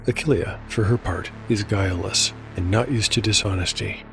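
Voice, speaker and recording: A man hosting and narrating a solo tabletop game as a dark fantasy audio drama, measured and grave, with dice-roll commentary. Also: lowish voice, creaky. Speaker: host and solo game master. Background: music.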